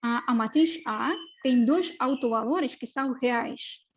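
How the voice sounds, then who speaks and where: A woman's voice: on the high side, a little slow, highs cut off, slight background noise. A young woman from Russia, speaking with a Russian accent, gives a hesitant seminar lecture full of fillers. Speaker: postdoctoral researcher.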